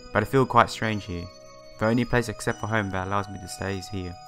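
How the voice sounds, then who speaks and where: A man with a fairly low voice reads a visual novel aloud as a let's player. His phrasing is halting as he narrates and drops in his own comments. Game music plays behind him. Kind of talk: reading visual novel text aloud with asides.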